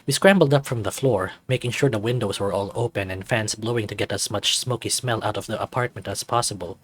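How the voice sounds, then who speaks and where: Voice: medium pitch, a little fast, a little hiss or hum. A narrator reading a scripted first-person visual novel story.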